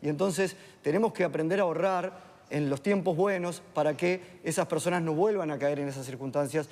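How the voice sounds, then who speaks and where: A man speaks in a measured, explanatory way with a somewhat high voice. He lays out economic points at a steady pace. His voice rings with the long reverb of a studio. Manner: measured, explanatory, with filler tags. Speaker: economist guest on a TV panel. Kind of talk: analytical panel discussion.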